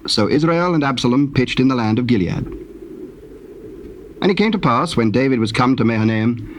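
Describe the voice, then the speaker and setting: A man narrating scripture from a script in a measured, formal manner, on a hissy old cassette. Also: medium pitch, lively intonation.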